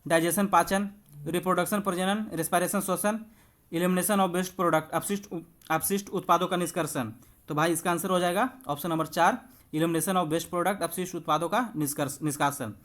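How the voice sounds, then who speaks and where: A man's voice: high voice, fairly even intonation, moderate pace, fairly bright tone. A man works through exam quiz questions as a coach. He explains in an instructive way, talking to his viewers familiarly, like friends. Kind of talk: quiz walkthrough lesson with explanations.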